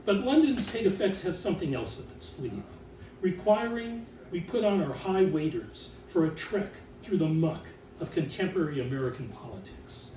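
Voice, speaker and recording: Theatrical high-voiced man reading in a roomy space. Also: big pitch swings, warm tone. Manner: theatrical, deliberate phrasing with drawn-out emphasis. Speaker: author and exhibition curator reading aloud. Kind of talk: dramatic recitation of catalog text to an audience. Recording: roomy, distant mic with background noise.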